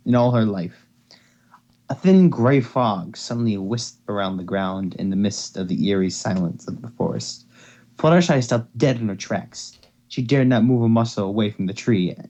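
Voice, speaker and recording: A man's voice: fairly low pitch, a little mellow. A man hosting an amateur reading of fan fiction, informal and sometimes stumbling over his words. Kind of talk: amateur dramatic story reading. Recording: dull lo-fi home microphone.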